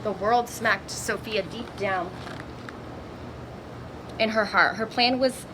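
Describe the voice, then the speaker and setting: A woman reading a children's picture book aloud in an expressive storytelling manner, with little asides to young listeners. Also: medium pitch, slow, background noise. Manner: expressive, acts out exclamations and dialogue.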